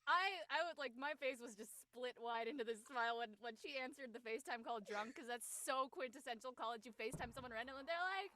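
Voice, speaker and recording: A woman in casual chat, expressive and peppering her talk with "like". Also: fairly high pitch, fast, few pauses. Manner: expressive, uses like often. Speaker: talk show panelist.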